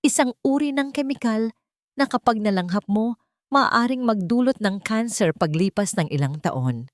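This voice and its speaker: A woman voicing a male private investigator in an audio drama, grave and urgent, speaking deliberately and respectfully. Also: a little high, expressive, no room sound, clean studio sound, voice pushed up, lightly acted. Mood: grave, revealing.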